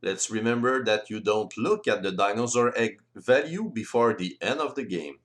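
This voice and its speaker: A man whose native language is French, explaining game rules in careful, halting, non-native English as an instructional voice-over. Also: fairly low pitch, expressive, fairly bright tone.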